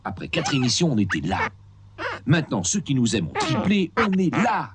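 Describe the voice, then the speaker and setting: An actor playing a boastful, theatrical puppet cat who presents a children's mock newscast. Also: male voice, fairly high pitch, huge pitch swings, moderate pace, heavy background noise. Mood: earnest presenting. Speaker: host of a puppet news show.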